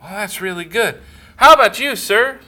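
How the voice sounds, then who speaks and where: A man playing a polite, curious female reporter, pitching his voice up. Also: lively intonation, moderate pace, sharp tone, heavily acted.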